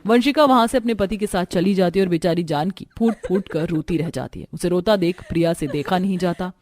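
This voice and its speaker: A woman's voice: lowish voice, fairly quick, darkish tone. A woman narrator reading a scripted family story for a cartoon.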